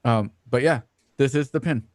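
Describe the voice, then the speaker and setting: A man co-hosting a casual show, giving product pitches and scripted sponsor reads. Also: moderate pace, a little mellow, dry recording, studio quality. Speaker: co-host and sponsor reader.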